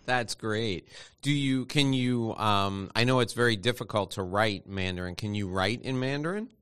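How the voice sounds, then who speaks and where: A man's voice: fairly low pitch, moderate pace, fairly bright tone, clear, resonant tone, dry recording. A man hosting a show, asking his guest questions in a friendly, conversational way. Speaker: show host. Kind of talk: interview.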